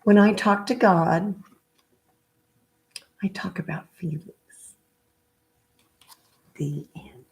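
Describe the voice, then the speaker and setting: A middle-aged woman in a gentle read-aloud style with casual, chatty asides and a halting, unhurried manner. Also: lowish voice, creaky, poor audio. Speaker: spiritual healer reading a picture book aloud.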